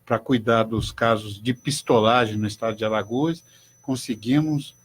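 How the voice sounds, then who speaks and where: An older man, a judge speaking in a formal, measured way as a guest on a radio talk show. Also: mid-range voice, steady tone, breathy edge.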